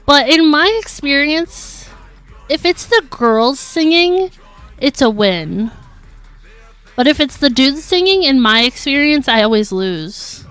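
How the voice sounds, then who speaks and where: A woman's voice: high-pitched, a bit bright, no room sound, poor audio. A woman livestreaming a game, talking casually in a chatty, giggly, informal way. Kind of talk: casual live gaming commentary.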